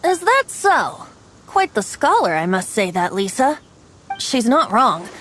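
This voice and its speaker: A woman's voice: on the high side, big pitch swings, a little slow, fairly bright tone, a little hiss or hum. A woman voicing a youthful, boyish male bard in a video game, whimsical and theatrical, with a playful, wistful lilt. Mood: musing. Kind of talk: video game character acting. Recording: music behind the voice.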